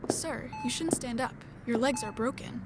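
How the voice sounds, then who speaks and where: A woman acting in an audio drama as a matter-of-fact hospital caregiver, calmly explaining things to a patient. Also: fairly high pitch, moderate pace, natural voice. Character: matter-of-fact female hospital nurse or doctor. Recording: noisy background ambience.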